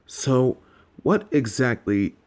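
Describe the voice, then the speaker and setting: A man playing a composed, wry professor at a wizard school in an audio drama, dry, teasing and deliberate. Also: mid-range voice, big pitch swings, darkish tone. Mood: wry, probing. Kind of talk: scripted audio drama acting.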